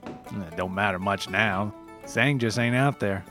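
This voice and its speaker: A man plays a rustic Appalachian forager from a mock documentary story. He talks in a folksy, halting drawl, aggrieved yet sentimental, over background music. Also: on the low side, sharp tone. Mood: resigned, worried. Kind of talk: mock reality-show character in a narrated story.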